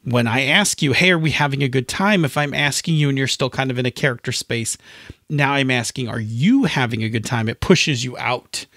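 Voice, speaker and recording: A man's voice: mid-range voice, a little fast, fairly bright tone, no echo, clean studio sound. A man co-hosting a podcast, chatting casually and emphatically as he gives advice, often checking in with the listener. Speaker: podcast co-host. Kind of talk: casual chat about tabletop game advice.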